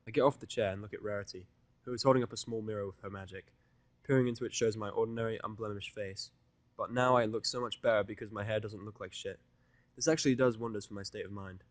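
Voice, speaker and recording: A man's voice: lowish voice, fairly even intonation, quick pace, dry recording. A man reading a scripted first-person fan fiction narration in a blunt, sardonic manner.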